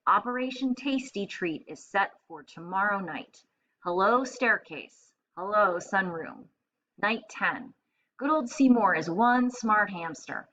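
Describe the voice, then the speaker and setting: Smug, exclamatory small male hamster for a storybook, performed by a woman; lively delivery, slightly dull recording. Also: mid-range voice. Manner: self-satisfied, exclamatory, asks and answers himself. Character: boastful, excitable little male hamster diarist.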